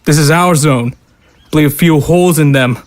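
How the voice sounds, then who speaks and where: A man's voice: a little high, normal speed, close to an everyday voice. A young man playing a cold, hardened brother who hunts zombies, speaking curtly and bluntly. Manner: curt, blunt, callous.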